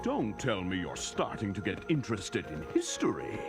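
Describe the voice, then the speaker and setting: A man voicing a calm, wry, centuries-old immortal mentor in a cartoon, urbane and composed. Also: on the low side, normal speed. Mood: wry, reassuring. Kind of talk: animated series acting. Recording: music under the voice.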